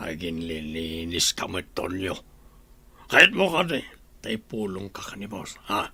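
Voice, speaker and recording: A man playing a gruff, taunting henchman with a raspy, sharp voice in an Ilocano radio drama. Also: sits low, slow pace, dry recording, exaggerated voice. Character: gruff, taunting male henchman of a crime boss.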